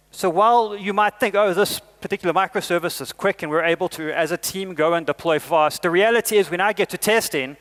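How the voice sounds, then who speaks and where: A middle-aged South African man delivers a conference talk in a fairly high voice. He leans on emphatic rises to stress key points. Frequent "uh" fillers break up his phrases. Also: a bit bright. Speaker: conference speaker.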